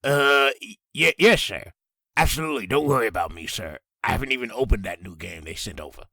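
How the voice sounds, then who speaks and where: A man playing a grumbling young slacker superhero in a comic dub, with a rough voice and an informal, whiny manner. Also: mid-range voice, expressive, brisk, pushed and bright, no room sound, studio quality, heavily acted. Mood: sheepish. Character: grumbling slacker superhero with stumpy rock fingers.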